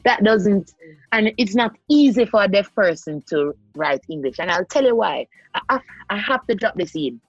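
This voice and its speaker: A woman's voice: mid-range voice, lively intonation, normal speed, husky, no echo, highs cut off, faint music behind. A woman from Jamaica with a Jamaican accent, talking earnestly in a casual chat and repeating her key points for emphasis.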